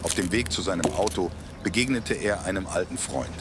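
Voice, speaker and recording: A man's voice: sits low, fairly quick, very noisy, close to an everyday voice. A man narrating a humorous scripted audio drama in a storybook style. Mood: measured storytelling.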